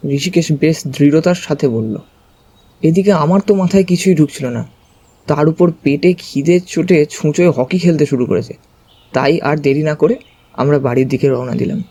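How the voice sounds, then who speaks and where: A man's voice: fairly high pitch, moderate pace, mellow, slightly rough. A man giving a dramatized first-person narration of a mystery story. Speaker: story narrator, also voicing the narrating character.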